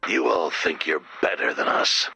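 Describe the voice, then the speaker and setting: Very deep, raspy slasher villain. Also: male voice, dry recording, exaggerated voice. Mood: wounded, menacing. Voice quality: deep, raspy, breathy growl. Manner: slow, deadpan, ominous. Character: menacing, brooding horror-movie slasher villain, touchily sincere. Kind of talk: comic horror video game voice acting.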